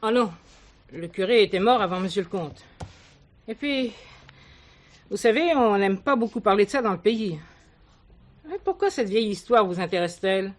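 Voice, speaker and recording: An actress playing a talkative, kindly village shopkeeper who adores cats and gossips in a confiding way in a television play. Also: female voice, mid-range voice, expressive, normal speed, long pauses, natural voice. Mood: guarded, insinuating. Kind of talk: 1970s French television play dialogue.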